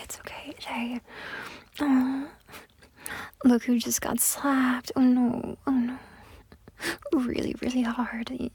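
A woman's voice: on the high side, a little mellow, heavily acted. A young woman voicing a pushy, entitled woman on a date in a one-sided audio roleplay, talking in a close, whispery way with lots of swearing. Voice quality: husky, breathy, gravelly. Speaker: voice actor.

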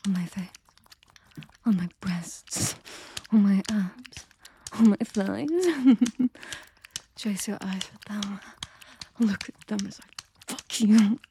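Woman, young adult, close-mic audio roleplay: slow, dark-toned, commanding whisper. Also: medium pitch, steady tone, a little hiss or hum. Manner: commanding and teasing, often whispering; soft and gentle near the end. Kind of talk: intimate scripted audio roleplay.

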